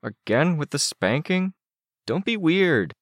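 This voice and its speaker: A man voicing an excitable, defensive young boy with a complaining, exclaiming manner in an audiobook story. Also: a little high, takes it slowly, no room sound, clean studio sound, pitched above the performer's own voice, full character voice. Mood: annoyed.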